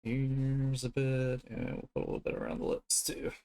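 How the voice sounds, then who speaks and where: A man streaming his art, thinking aloud in an informal, unhurried way as he works. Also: mid-range voice, completely flat delivery, vocal fry, warm tone, smooth. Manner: informal, unhurried musing to self while drawing. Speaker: streamer and artist. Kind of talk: casual art livestream commentary, thinking aloud.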